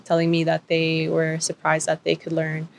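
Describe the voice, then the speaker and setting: Woman panelist, low flat delivery, reflective and halting. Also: vocal fry, a little hiss or hum. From Dominican and Peruvian family. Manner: thoughtful, many pauses and fillers. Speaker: artist panelist. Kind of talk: panel discussion.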